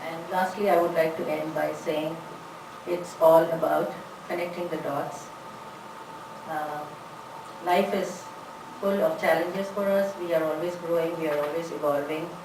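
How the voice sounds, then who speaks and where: A woman's voice: low-pitched, steady tone, a little slow. A woman giving a conference talk on language teaching, chatty with many "you know"s. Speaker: conference presenter, English teacher. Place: large conference room. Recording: echoey hall, distant mic, background noise.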